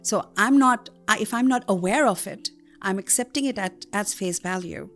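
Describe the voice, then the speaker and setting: A woman from Bangladesh, a native Bengali speaker, explaining her ideas as a guest on a podcast, with lively examples and acted-out quotes. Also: medium pitch, normal speed, soft background music. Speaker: guest academic. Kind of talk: podcast interview.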